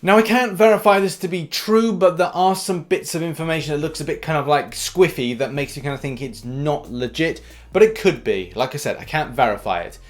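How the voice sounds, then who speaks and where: A man's voice: fairly high pitch, fairly quick, few pauses. A man hosting a gaming news video, delivering commentary in an informal, conversational way. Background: background music.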